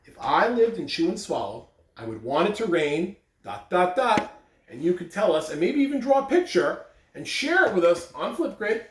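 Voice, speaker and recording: A man reading aloud to children in an expressive storytelling style, with deliberate emphasis on key words. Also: sits high. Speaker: school staff member reading aloud on morning announcements. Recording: roomy echo, distant mic, faint background noise.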